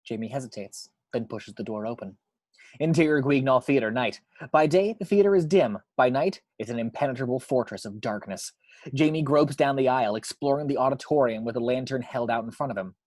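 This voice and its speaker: A man's voice: mid-range voice, fairly quick, a little mellow, dry recording. Dry-recorded man narrating a screenplay. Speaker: narrator reading action description.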